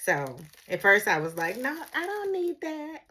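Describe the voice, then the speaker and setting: A woman's voice: high voice, huge pitch swings, measured pace, sharp tone, breathy edge. A middle-aged woman talking in a casual, rambling solo vlog style, often searching for her words. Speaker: vlogger, former emergency dispatcher.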